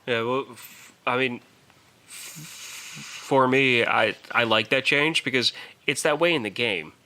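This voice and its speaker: Bright, emphatic man on a podcast. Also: medium pitch. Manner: emphatic, opinionated, halting with repeated words. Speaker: podcast co-host. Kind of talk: casual film discussion.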